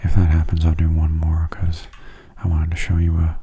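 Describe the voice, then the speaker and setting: A man giving soft-spoken ASMR commentary on a game in a gentle, unhurried, casual way, his hushed voice often slipping into whispers. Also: a bass voice, completely flat delivery, slightly rough, muffled recording. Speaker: gameplay video host.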